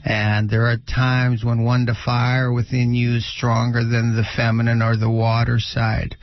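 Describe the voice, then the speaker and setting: A middle-aged or older man giving unscripted spiritual teaching on a radio show, halting and often pausing mid-sentence. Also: mid-range voice, completely flat delivery, no echo, highs cut off.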